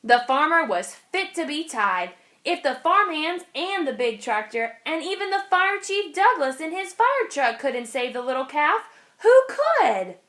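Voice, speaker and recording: A woman narrating a children's picture book aloud in an expressive storytelling style. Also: high-pitched, measured pace.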